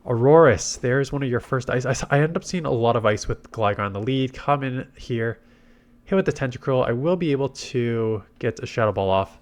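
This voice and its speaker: A man's voice: medium pitch, lively intonation, fairly quick. A man congested with a head cold gives casual gameplay commentary, thinking aloud through his tactics. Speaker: game commentator and video host.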